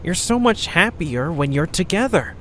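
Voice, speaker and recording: A man voicing a gentle, clumsy little flying creature. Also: on the high side, sing-song, moderate pace, a little hiss or hum. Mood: coaxing. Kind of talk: amateur audio drama acting.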